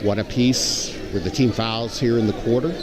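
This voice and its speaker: A man giving live color commentary and analysis at a high school basketball game. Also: steady tone, moderate pace. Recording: clear crowd noise in gym. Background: basketball game crowd.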